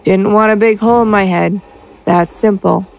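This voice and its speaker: A woman's voice: mid-range voice, fairly even intonation, moderate pace, creaky, a little mellow, natural voice, rough recording. A woman playing a defiant, resigned soldier in an audio drama, with a terse, blunt, insolent delivery. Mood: blunt, sullen. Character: defiant, resigned female soldier condemned to die.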